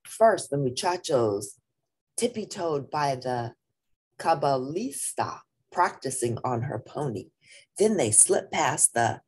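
A woman reading a children's picture book aloud in a theatrical, deliberate way with playful emphasis. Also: low-pitched, a little mellow, husky. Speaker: storybook reader.

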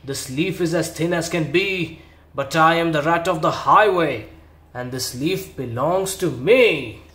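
A man voicing a greedy, bullying male rat highwayman in a children's storybook reading, loud and gloating. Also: animated, slow, roomy sound, full character voice. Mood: bellowing.

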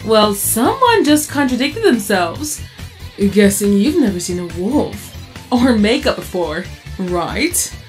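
A woman's voice: medium pitch, big pitch swings, slow, soft background music. A woman voicing a vain, teasing animatronic wolf diva. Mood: smug. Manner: teasing, smug.

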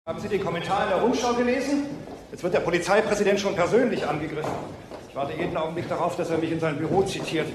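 An actor playing a harried, demanding police superior in a crime radio drama, impatient in manner. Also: male voice, high voice, quick pace, roomy sound, noisy background, natural voice. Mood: exasperated. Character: impatient, harried male police superior under public pressure.

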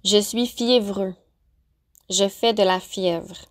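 A woman teacher narrating a lesson, pronouncing example phrases slowly and carefully. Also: mid-range voice, fairly even intonation. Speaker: French-as-a-second-language teacher. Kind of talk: clear, deliberate vocabulary lesson narration.

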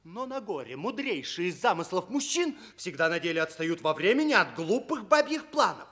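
A frantic fairy-tale husband in a radio play. His high voice swings widely as he scolds and exclaims in grand theatrical fashion. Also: very animated, moderate pace, fairly bright tone. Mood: scolding. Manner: exclamatory, declamatory.

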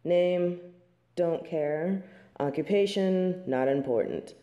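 A woman performs a disdainful female angel passing judgment on humans in an audio roleplay. Her voice is low and unhurried, with snobbish, formal wording. It rings in a roomy, echoing space. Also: warm tone, lo-fi sound. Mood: scornful judgment. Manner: snobbish, scornful, formal and archaic phrasing. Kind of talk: scripted audio roleplay monologue.

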